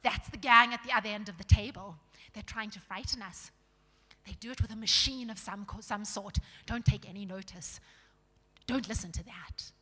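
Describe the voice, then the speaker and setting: A woman voicing a surly, suspicious dwarf in a storybook reading, barking gruffly with blustering, colloquial grumbles. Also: mid-range voice, normal speed, pushed and bright, big-room sound. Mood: dismissive.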